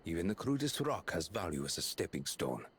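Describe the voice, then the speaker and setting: A man voicing a grave, calculating prince in a fantasy game, speaking in measured, formal pronouncements. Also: low-pitched, sing-song, a little hiss or hum. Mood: cool, solemn. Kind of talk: fantasy video game acting.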